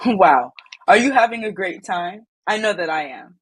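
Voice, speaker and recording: A woman hosts with cheerful, chatty energy and a husky voice. The sound is close and dry. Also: mid-range voice, lively intonation, moderate pace, dry recording. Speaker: show host. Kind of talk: scripted hosting.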